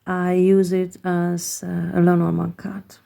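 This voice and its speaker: A woman with a Hungarian accent, a native Hungarian speaker, gives a slow, reflective tarot card reading, pausing often and searching for words. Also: fairly low pitch, completely flat delivery, vocal fry, dark tone. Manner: hesitant, searching for words with many pauses.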